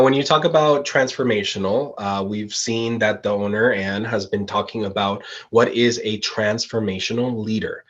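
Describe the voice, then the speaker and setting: A man interviewing a pageant contestant, enthusiastic and quick to praise, with frequent ums. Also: medium pitch, fairly bright tone, lo-fi sound. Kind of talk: live interview.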